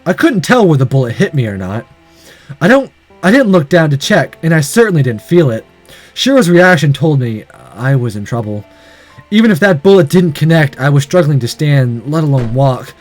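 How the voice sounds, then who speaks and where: A man with a high, animated voice narrates a visual novel. He reads dramatically and drops into casual asides, with faint music behind him. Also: moderate pace. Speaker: let's player reading a visual novel aloud.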